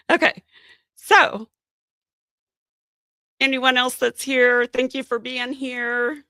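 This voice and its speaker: A woman's voice: sits high, steady tone, extremely slow, pauses often, pushed and bright, smooth, no echo, clean studio sound. A woman hosting a casual live-stream chat on her channel, losing her voice and sounding scratchy, rambling along with plenty of fillers. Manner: chatty, rambling with many fillers. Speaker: channel host. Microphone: podcast microphone.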